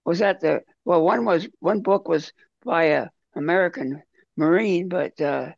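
A man with a heavily creaky, husky voice chats casually in a group. His delivery halts and rambles. The sound is dry and dull. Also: fairly high pitch, a little slow, no room sound. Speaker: book club member.

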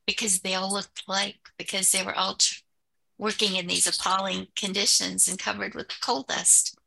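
A woman's voice: medium pitch, creaky, pushed and bright, rough recording. A woman taking part in a casual book group discussion as a member.

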